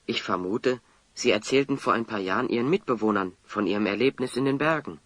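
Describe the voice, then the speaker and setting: A man playing a calm, inquisitive adventurer hero in an audio drama, speaking deliberately and decisively. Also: on the low side, no room sound. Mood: calm, resolute. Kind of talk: German audio drama acting.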